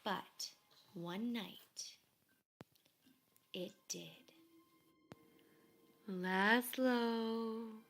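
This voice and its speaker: A woman narrates a children's picture book at a slow pace and medium pitch. Her reading is expressive and measured, with phrase endings often dropping to a hush. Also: soft background music. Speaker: storybook reader.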